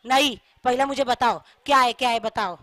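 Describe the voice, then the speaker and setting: A woman's voice: fairly high pitch, moderate pace, fairly bright tone, no echo, close to an everyday voice. A woman playing a domineering, impatient husband in a stage skit, speaking bluntly, boastfully and insistently. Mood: eager, impatient. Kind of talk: stage skit acting in dialogue.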